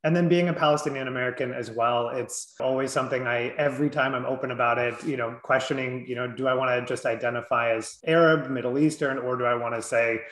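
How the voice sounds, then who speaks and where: A man's voice: medium pitch, steady tone, quick pace, few pauses, a bit bright, echoey room, poor audio. A man in his thirties from Southern California, an author and clinician answering interview questions reflectively, often saying "you know".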